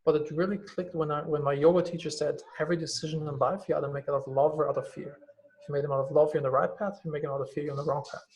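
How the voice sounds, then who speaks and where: A quick, gravelly-voiced man with a German accent chats candidly on a podcast. His voice sits a little high for a man, and he talks in a loose, filler-heavy way, dropping the odd swear word. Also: little pitch movement, a little mellow, a little hiss or hum, rough recording. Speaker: guest, company CEO. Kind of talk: casual podcast conversation.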